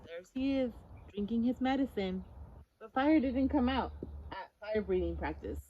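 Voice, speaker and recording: High, animated woman reading a storybook. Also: normal speed, dull audio. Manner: expressive, emphatic. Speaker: story-time reader for children. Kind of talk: animated picture-book read-aloud with questions to listeners.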